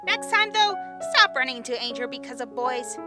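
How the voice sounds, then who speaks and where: A woman's voice: sits high, very animated, normal speed, music in the background. A woman playing a fierce, blunt teenage girl fighter in a fan audio drama. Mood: teasing.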